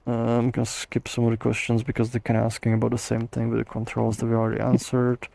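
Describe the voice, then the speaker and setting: A man's voice: mid-range voice, completely flat delivery, quick pace, creaky, mellow, no room sound. A tired young man hosting a casual livestream Q&A, rambling with lots of fillers and restarts. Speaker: host answering viewer questions.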